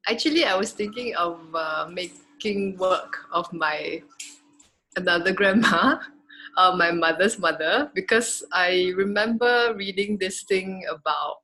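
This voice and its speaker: Bright-voiced woman chatting informally. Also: lowish voice, low-quality recording. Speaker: artist and cultural worker. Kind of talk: casual introduction in an online conversation.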